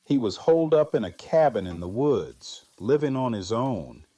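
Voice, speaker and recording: A man playing a grieving, reflective widowed survivor in an audio drama, speaking in a halting, subdued manner. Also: mid-range voice, big pitch swings, warm tone, breathy edge. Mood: reflective storytelling. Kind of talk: fan audio drama acting.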